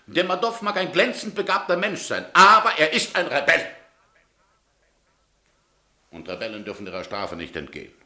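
An actor playing a proud, unbending middle-aged Tsarist governor in a German radio drama, speaking in a formal, emphatic way. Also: male voice, a little high, sing-song, moderate pace, pauses often, pushed and bright, roomy sound, heavily acted. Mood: stern, resolute.

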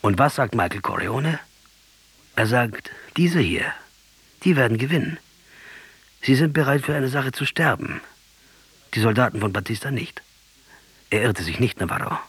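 A man's voice: mid-range voice, animated, moderate pace, pauses often, fairly bright tone, breathy edge, dry recording, exaggerated voice. An actor playing a proud, fervent Argentine guerrilla commander in a radio drama, lecturing and sardonic as he argues. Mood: insistent. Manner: didactic, sardonic, rhetorical storytelling.

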